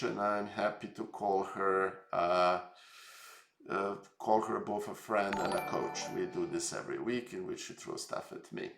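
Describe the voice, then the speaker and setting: Serbian-born male streamer, gravelly low tone, hesitant and jokey, roomy echo. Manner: rambling, hesitant, filler-heavy, jokey. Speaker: streamer host. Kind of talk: casual live stream chat.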